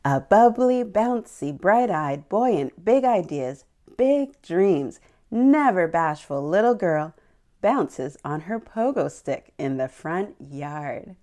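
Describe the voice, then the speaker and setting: A woman's voice: lowish voice, expressive, slow, dark tone. A woman hosting a picture book storytime for young children, speaking in an encouraging, exclamatory way and putting questions to her little listeners.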